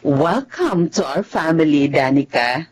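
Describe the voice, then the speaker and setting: Low-voiced gentle motherly woman. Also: measured pace, husky, exaggerated voice. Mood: warm, welcoming. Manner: soothing, expressive. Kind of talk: Filipino radio drama acting.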